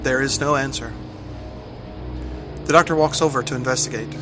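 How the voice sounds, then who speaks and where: A man's voice: mid-range voice, fairly even intonation, normal speed. Male narrator, mid-range voice, scripted adventure storytelling with very prominent music underneath.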